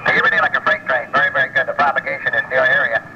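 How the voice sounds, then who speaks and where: A man's voice: medium pitch, fairly even intonation, very fast, vocal fry, sharp tone. A man talking as an amateur radio operator in a folksy, rambling, mildly profane way, chatting casually over shortwave radio. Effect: shortwave radio transmission. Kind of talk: casual ham radio chat. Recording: noisy, muffled 1980 shortwave radio contact.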